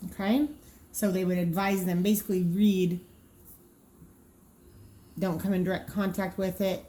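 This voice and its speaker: A woman's voice: on the low side, level tone, measured pace, dark tone. A woman giving an informal walkthrough as a trainer, practical and explanatory, often thinking aloud. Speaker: trainer presenting draft dispatcher training cards.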